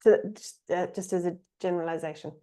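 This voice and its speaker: A woman with an English accent, giving a reflective spiritual talk in a calm, musing way, pausing often. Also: on the low side. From England. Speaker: spiritual teacher.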